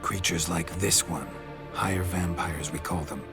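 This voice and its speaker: Deep-voiced gruff monster hunter, clipped, weary, deadpan, game dialogue, music behind. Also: male voice, unhurried. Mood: firm, explanatory. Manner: clipped, deadpan, drops sentence openings. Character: stoic gruff male monster hunter, weary and matter-of-fact. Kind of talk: video game voice acting.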